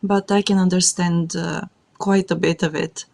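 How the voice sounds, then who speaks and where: A woman whose first language is Bulgarian, co-hosting a casual chat, speaking thoughtfully with many pauses and fillers. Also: fairly low pitch, flat delivery, creaky, darkish tone. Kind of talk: casual conversation.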